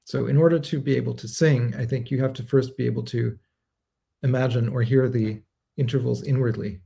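A reflective man in his thirties speaks at his desk in a book-lined home study, picked up by his webcam on a livestream. His voice is dark and mellow, with a gravelly fry at the ends of phrases. He answers viewers' questions in a measured, unscripted way, with fillers and self-corrections as he thinks aloud. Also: medium pitch, steady tone. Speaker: composer hosting a livestream Q&A. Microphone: webcam framing at desk, livestream.

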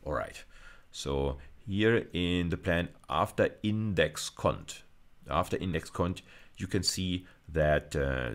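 A middle-aged man with an extremely low voice delivers a university video lecture. He explains things step by step, often repeating and rewording key phrases as he goes.

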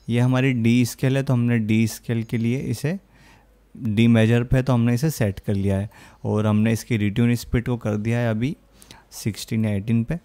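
A young man explaining audio software in a casual tutorial style, with frequent filler phrases, speaking close to a studio microphone. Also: mid-range voice. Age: young adult. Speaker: music production tutorial host. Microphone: close studio condenser microphone.

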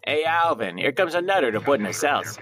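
An actor playing a wry, world-weary police sergeant in an audio drama. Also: male voice, medium pitch, very animated, brisk. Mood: dry, wry. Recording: slightly distant, music behind.

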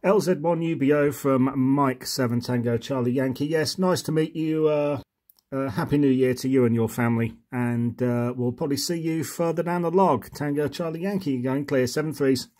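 A British man works as an amateur radio operator and host. His voice is mid-pitched and matter-of-fact, moving between casual commentary and formal radio call-outs. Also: moderate pace, background noise. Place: indoors at a desk radio set.

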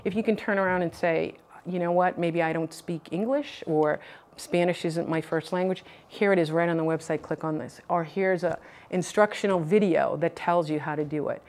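A middle-aged woman from Boston, a political candidate answering questions in a TV studio interview, earnest and measured, recorded on a lapel mic. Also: fairly low pitch, fairly even intonation, a little mellow. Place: TV news studio.

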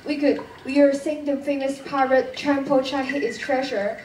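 A teenage girl plays a curious, matter-of-fact schoolgirl explorer in a radio play. Her voice is high. It sounds distant in an echoey theatre, with faint noise. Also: flat delivery, moderate pace, darkish tone, natural voice. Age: teens. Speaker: school drama performer. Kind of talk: radio drama acting. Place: stage of a studio theatre. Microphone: far camera.

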